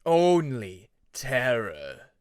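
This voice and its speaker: A man voicing a menacing, shadowy dragon from an audiobook story, with a drawn-out, ominous and taunting delivery. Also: mid-range voice, big pitch swings, clean tone, dry recording, studio quality.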